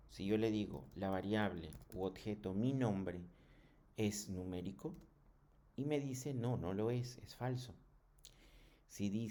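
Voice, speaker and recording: A man giving a programming tutorial in a halting, didactic way, often checking in with short questions. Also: lowish voice, dark tone. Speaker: programming instructor. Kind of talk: screen tutorial lesson.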